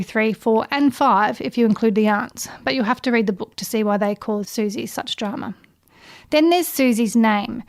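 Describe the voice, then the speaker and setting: High-pitched woman presenting book recommendations. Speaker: book reviewer recommending children's books. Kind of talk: prepared book recommendation segment.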